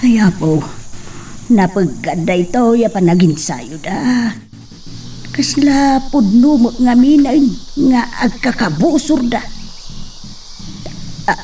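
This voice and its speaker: A woman's voice: medium pitch, warm tone, distant mic, slight background noise. A woman acting an anxious, watchful villager in an Ilocano drama, with an Ilocano accent.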